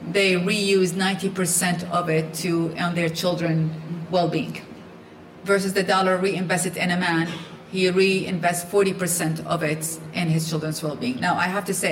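A woman's voice: on the low side, roomy sound, slight background noise, rough recording. A woman who grew up in Iraq, speaking on a live panel in an earnest, emphatic way and often restarting her sentences.

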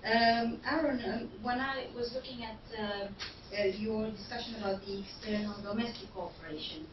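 A woman's voice: on the high side, normal speed. A woman asking academic questions from the audience, hesitant, with many fillers and restarts. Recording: distant, roomy, dull and noisy hall recording.

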